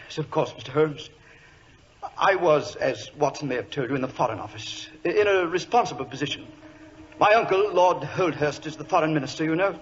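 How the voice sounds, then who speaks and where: An actor playing a high-strung, well-bred young government clerk in a 1940s radio drama, polite, excitable and wordy. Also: male voice, a little fast, lightly acted. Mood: distraught, nervous. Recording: muffled, noisy vintage radio recording.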